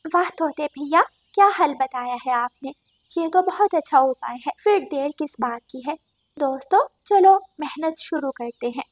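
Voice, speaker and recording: A woman's voice: high-pitched, moderate pace, no room sound, lightly acted. A woman voicing a kind, practical female little bird in a cartoon, polite and encouraging. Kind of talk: cartoon character acting.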